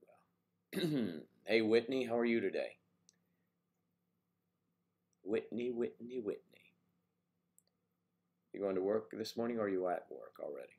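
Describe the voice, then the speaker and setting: This is an older man with a cold that has made his low voice even deeper and stuffier. He chats casually on his morning livestream, teasing viewers and greeting them by name. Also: unhurried, pauses often. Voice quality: deep voice. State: congested with a cold. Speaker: livestream host.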